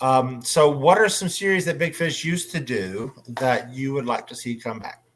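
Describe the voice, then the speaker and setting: A man moderating a casual convention panel, chatting in a hesitant, pausing way. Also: medium pitch, fairly bright tone, slight background noise.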